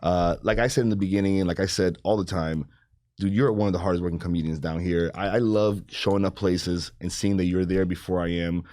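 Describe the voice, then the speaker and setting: A man's voice: sits low, fairly even intonation, fairly quick, warm tone, no echo, clean studio sound. A man hosting a comedy podcast, laid-back with stumbling restarts, whose first language is not English. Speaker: podcast host. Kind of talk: casual podcast chat.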